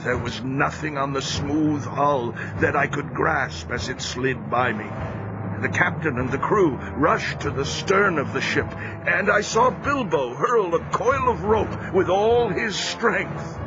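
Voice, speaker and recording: A man's voice: on the high side, slow, background noise. An actor playing a reflective seaman who narrates his boyhood adventure as scripted audio drama. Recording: dull audio with music behind.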